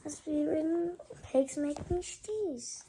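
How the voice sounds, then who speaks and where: Young child reader for a picture book: very slow, high and mellow, with chatty asides. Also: noisy background. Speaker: storybook reader. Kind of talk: reading a picture book aloud with casual asides.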